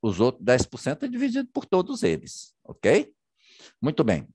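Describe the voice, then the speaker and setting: A man's voice: mid-range voice, wildly swooping pitch, normal speed, darkish tone, husky, no echo. A man presenting over a video call, chatty and full of checking questions as he walks through a plan. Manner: chatty, many checking tags and rhetorical questions. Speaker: business presenter. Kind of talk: presentation over shared slides. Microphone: computer mic on a video call.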